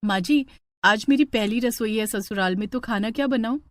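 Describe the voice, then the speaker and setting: A woman's voice: fairly high pitch, normal speed, lightly acted. A woman voicing a young daughter-in-law in a story, polite, plaintive and bewildered. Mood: surprised, polite.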